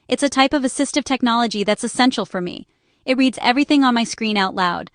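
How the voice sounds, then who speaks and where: Higher-pitched woman narrating a scripted explainer. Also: dry recording, studio quality. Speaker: narrator and small business owner explaining accessibility.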